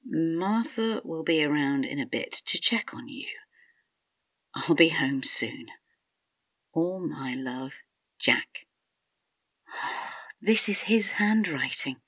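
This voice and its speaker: Agitated suspicious wife in a radio play, husky, close dry sound, mutters to herself. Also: female voice, deep voice, expressive, slow pace, long pauses, no room sound, exaggerated voice. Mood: hushed, anxious. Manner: agitated, accusing, often dropping into hushed muttering. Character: distressed, suspicious wife convinced her husband is an impostor. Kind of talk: radio drama acting.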